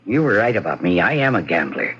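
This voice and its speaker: Restless secret-gambler wife, old radio drama. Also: female voice, a bass voice, very animated, fast talker, slightly rough, heavily acted. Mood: defiant. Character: restless married woman secretly addicted to gambling. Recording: dull old radio recording.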